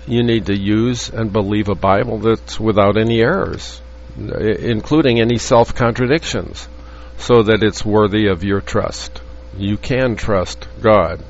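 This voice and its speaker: A man teaching from the Bible in an earnest, halting way, with frequent restarts and fillers. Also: fairly low pitch. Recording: dull lo-fi audio with faint hum.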